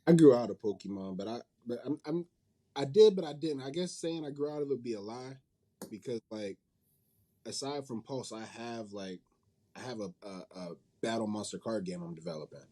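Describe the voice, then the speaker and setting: A man's voice: medium pitch, animated, fairly quick, warm tone. A man being interviewed in a casual, informal and rambling way, often correcting himself as he goes. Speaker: interview guest, manga company head and creator.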